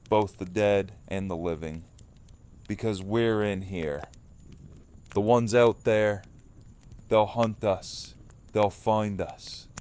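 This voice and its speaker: A man playing a grim, slow-spoken survivor leader. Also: on the low side, a little mellow, smooth. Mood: grim warning. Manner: deliberate, stern. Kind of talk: audio drama acting.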